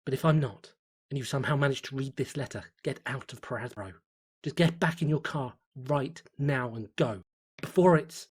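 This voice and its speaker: A man's voice: mid-range voice, normal speed, darkish tone, husky, natural voice. A man playing a fearful, exhausted tourist, brooding uneasily through a diary in a horror story reading. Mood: urgent warning.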